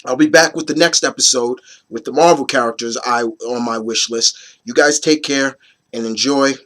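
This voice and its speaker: A hoarse man in his thirties, getting over a cold, hosting his opinion video in a rambling, casual way with many fillers and restarts. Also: mid-range voice, moderate pace, fairly bright tone. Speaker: host of an opinion video series. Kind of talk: casual chat to camera.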